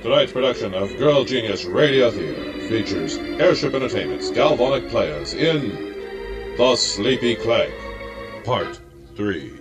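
A man's voice: medium pitch, animated, measured pace. A man reading a scripted announcement as the announcer of a radio theatre show. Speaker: announcer of an audio drama show. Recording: music under the voice. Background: music.

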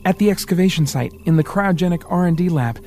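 A man's voice: high-pitched, expressive, fast, darkish tone, soft background music, pitched above the performer's own voice. A man voicing a troubled, guilt-ridden young man who speaks in a strained, trembling, pleading way. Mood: urgent.

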